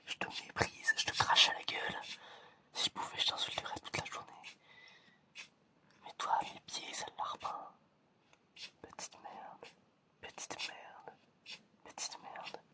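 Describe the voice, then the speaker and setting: A man whispers slowly in an ASMR roleplay, his breath sharp and bright. He speaks straight to the listener in a repetitive, deliberate way, with no real voicing. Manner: slow, repetitive, addressing the listener directly. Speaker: ASMR video creator playing an examiner.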